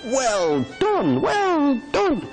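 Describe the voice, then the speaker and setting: A jovial old gentleman from a storybook. He calls out merrily in a raised, hearty voice full of exclamation, with music faintly behind. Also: very high voice, huge pitch swings, drawn-out words, pitched above the performer's own voice, full character voice. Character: jovial, booming old gentleman employer, merry.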